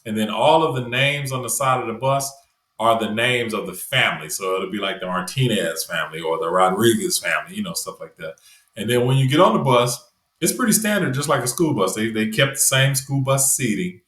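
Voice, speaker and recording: A man's voice: medium pitch, fairly quick, echoey room. A man from the United States chatting casually as a podcast guest, loose and conversational with lots of fillers. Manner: relaxed, conversational, many fillers like "you know". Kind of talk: casual interview chat.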